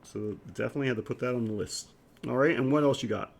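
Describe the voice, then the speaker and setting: A man's voice: mid-range voice, fast, gravelly. A man co-hosting a podcast, chatting casually about film in an easygoing, agreeable way. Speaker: podcast co-host. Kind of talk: casual film review chat.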